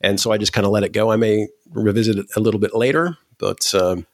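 A man in his forties, a podcast host, chatting casually in a deadpan way with halting restarts. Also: lowish voice, no room sound, studio quality. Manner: halting, many restarts and fillers. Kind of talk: casual conversation.

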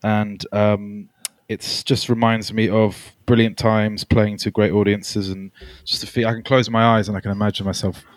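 A man born in Australia, a singer-songwriter guest, talking softly and a little hesitantly in a relaxed interview. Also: lowish voice, normal speed, dry recording. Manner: soft-spoken, hesitant, fond. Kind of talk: casual podcast interview.

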